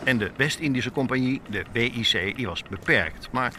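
Male documentary podcast narrator, mid-pitched. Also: lively intonation, moderate pace, bright, forceful tone, slight background noise. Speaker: podcast host and reporter.

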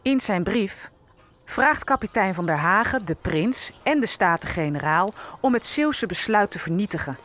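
A woman's voice: medium pitch, lively intonation, normal speed, fairly bright tone. A woman narrating a scripted historical story, telling it with wry asides.

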